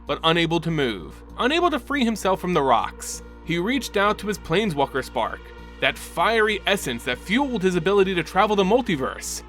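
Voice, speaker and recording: Male lore narrator, slightly high, lively emphatic read. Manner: animated, emphatic storytelling. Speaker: lore channel host. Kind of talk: dramatic story narration.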